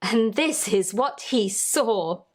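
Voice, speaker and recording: A woman's voice: medium pitch, animated, slow. A woman reading a children's storybook aloud as host, narrating expressively for young listeners.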